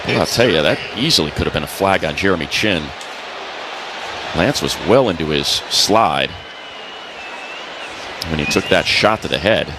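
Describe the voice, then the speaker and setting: An American man works as a play-by-play announcer on a football radio broadcast. His voice sits low, and there is clear stadium noise behind him as he calls the action. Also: lively intonation, a little slow, pauses often. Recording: noisy stadium booth broadcast. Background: stadium ambience.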